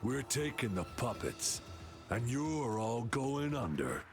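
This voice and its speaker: A man's voice: medium pitch, very animated, slow pace. A man voicing a menacing, stubborn gang thug in a video game, blunt and threatening. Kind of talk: scripted video game voice acting. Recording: music under the voice.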